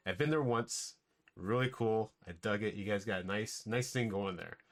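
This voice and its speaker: A man hosts a podcast in an easygoing, conversational way. His voice sits a little low, and he repeats words and leans on casual fillers as he talks. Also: normal speed. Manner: easygoing, chatty, repeats words, uses "like" and "stuff". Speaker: podcast host.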